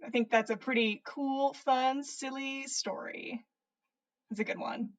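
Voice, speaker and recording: A woman's voice: sits high, fairly even intonation, unhurried, poor audio. A woman podcast host with an informal manner, reading stories aloud and chatting casually. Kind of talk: animated story reading and casual livestream chat.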